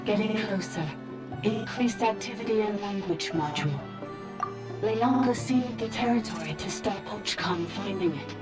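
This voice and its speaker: A woman's voice: medium pitch, normal speed, lightly acted. A woman voicing a terse, literal female automaton in a video game, clipped and matter-of-fact. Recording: echoing voice under loud game music.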